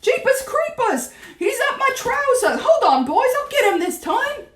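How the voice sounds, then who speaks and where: Storybook role: panicked man cook played by a woman, frantic yelling, very high and wildly swooping. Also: very animated, a little slow, echoey room, heavily acted. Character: panicking, shrieking grown man, a kitchen cook. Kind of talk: dramatised storybook reading.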